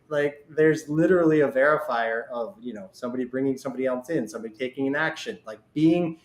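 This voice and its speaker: A man with a mid-pitched voice in a roomy, echoey space. He talks casually as a podcast host, slipping into little quoted asides as he explains things in a conversational way. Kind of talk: casual interview chat.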